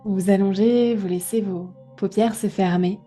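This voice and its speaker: A woman guiding a relaxation meditation, giving gentle, unhurried instructions with pauses. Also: medium pitch, fairly even intonation, faint music behind. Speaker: yoga nidra guide.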